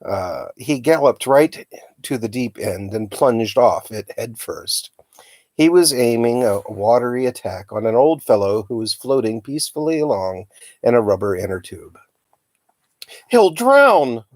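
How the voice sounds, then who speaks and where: A man reading a children's novel aloud as a storyteller, with a dramatic, expressive delivery. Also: medium pitch, normal speed, dry recording. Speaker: storytime reader.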